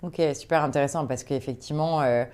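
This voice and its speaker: A woman's voice: deep voice, fairly quick, darkish tone, clear, resonant tone. A woman hosting an interview podcast in a casual, informal and encouraging conversational way.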